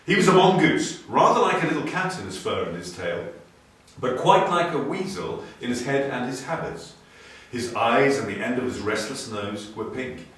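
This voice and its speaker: Animated male storyteller in an echoey room. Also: medium pitch. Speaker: storybook reader. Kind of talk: scripted children's story narration, expressive. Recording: very echoey room, distant mic.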